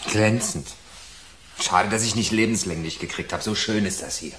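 Radio crime drama: male actor as a bitter, sarcastic young inmate with a lowish pitch. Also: normal speed, noisy background, close to an everyday voice. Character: sarcastic, embittered young male prisoner accusing a killer.

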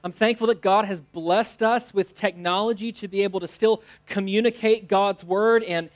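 A man's voice: sits high, steady tone, a little slow. A man in his thirties with an American accent, a pastor giving a sermon in short phrases. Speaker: teaching pastor. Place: church stage, indoors.

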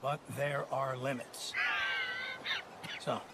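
An actor playing a pompous, condescending army colonel who is easily riled, in a dramatic video game. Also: male voice, medium pitch, level tone, heavy background noise. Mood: haughty. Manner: pompous, halting and dismissive.

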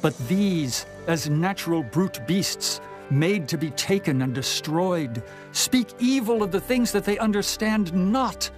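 A man narrates scripture as a dramatized reading. His voice sits somewhat higher than average for a man, and he phrases each clause solemnly, with measured emphasis. Music plays faintly behind him. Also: expressive. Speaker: Bible narrator. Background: background music.

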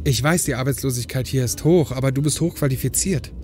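A man's voice: mid-range voice, fast, darkish tone, a little hiss or hum, natural voice. A man acting a concerned, pushy but caring brother in an audio drama, speaking earnestly and persuasively. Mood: concerned, coaxing.

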